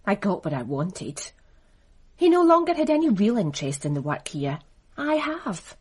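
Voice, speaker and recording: A woman's voice: medium pitch, big pitch swings, normal speed, a little mellow, breathy edge. An actress playing a cool, calculating businesswoman in a radio drama, guarded and seductive.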